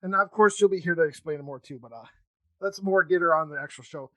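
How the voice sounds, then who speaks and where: A man with a fairly high-pitched voice hosts a casual interview show. His delivery is halting and loosely worded. The sound is very dry, with no room echo. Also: sharp tone. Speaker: podcast host.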